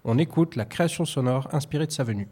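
A man radio presenter asking questions and introducing segments in a measured announcing manner. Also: mid-range voice, mellow, breathy edge.

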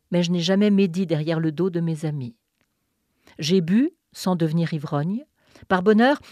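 A woman with a lowish voice reads poetry and scripted narration on a radio program. Her delivery is measured and deliberate, with pauses between phrases. The studio sound is close and dry. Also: a little mellow, dry recording. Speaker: radio host.

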